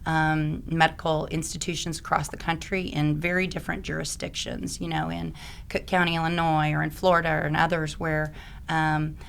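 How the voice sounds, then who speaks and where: A woman from Oklahoma, a podcast guest, with a raspy, slightly hoarse voice, explaining things in a friendly way with frequent ums. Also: low voice, flat delivery, normal speed, a little hiss or hum. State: hoarse from allergies. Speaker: podcast guest, risk advisor and attorney. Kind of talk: conversational podcast interview.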